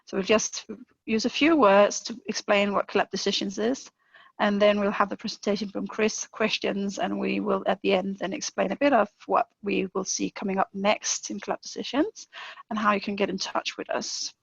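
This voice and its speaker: A woman hosts an online webinar in a friendly, slightly halting way, with some filler words. Her delivery stays fairly even, with a gravelly edge. The video-call audio is muffled and dry. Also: mid-range voice, no room sound. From Denmark. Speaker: webinar host, lean manager.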